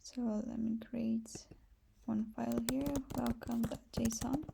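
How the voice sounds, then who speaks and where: A Brazilian woman with a fairly high, even voice hosts a casual programming tutorial. She speaks softly with a creaky edge, sometimes sinking toward a near-whisper, and her accent is that of a native Portuguese speaker. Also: a little slow, a little hiss or hum. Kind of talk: casual step-by-step screencast tutorial.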